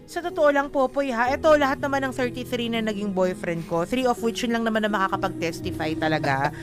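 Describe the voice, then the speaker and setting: A woman's voice: fairly high pitch, fairly quick. A Filipino woman co-hosting a radio show, chatting casually and frankly in Tagalog mixed with English and jumping in with teasing remarks. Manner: outspoken, animated, teasing, often laughing and interjecting. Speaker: radio show co-host giving love advice. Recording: music playing behind the talk. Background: background music.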